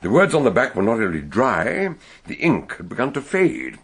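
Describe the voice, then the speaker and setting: An actor playing an eccentric, shrewd British doctor detective in a radio drama, musing and emphatic in a theatrical manner. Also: male voice, medium pitch, very animated, moderate pace, slightly rough.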